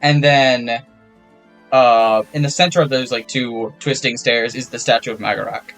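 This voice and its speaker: A man's voice: medium pitch, steady tone, normal speed, a bit bright, rough recording. Young man narrating a tabletop game. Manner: casual, loose descriptions with fillers. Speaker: game master. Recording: faint background music.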